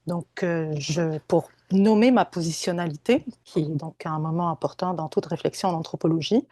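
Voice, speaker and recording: A middle-aged woman from Tunisia speaks in a fairly low voice, picking her words with care and often pausing on fillers as she lectures. Her voice comes over a video call with a dry, close sound and no room echo. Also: warm tone. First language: Arabic. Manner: careful, hesitant, many fillers and restarts. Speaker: guest lecturer, anthropologist. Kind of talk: academic conference talk. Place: home or office on a video call.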